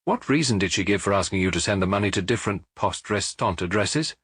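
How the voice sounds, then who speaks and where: French detective for audiobook, male reader acting, insistent but sympathetic, lively intonation, slightly husky. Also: on the low side, quick pace, no echo, studio quality. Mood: probing. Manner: insistent, probing questions. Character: French police inspector interrogating a suspect, firm, pressing, sympathetic.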